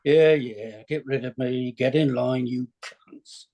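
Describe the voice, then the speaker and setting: A man's voice: mid-range voice, slow, dark tone, lightly acted. A man playing a drunk, bitter, dishevelled comedian in his late forties, rambling belligerently in a script reading. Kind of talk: screenplay read-through acting.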